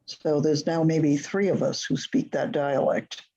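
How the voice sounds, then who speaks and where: A teacher speaks very slowly and deliberately, pausing often while leading a class discussion. The voice is mellow and soft-edged, and the sound is muffled and lo-fi like a video call. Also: mid-range voice, steady tone. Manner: slow, deliberate, with long pauses.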